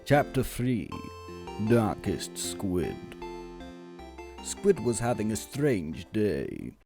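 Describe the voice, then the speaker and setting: A man reading a scripted comic story as narrator, measured and deadpan. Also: lowish voice, music in the background.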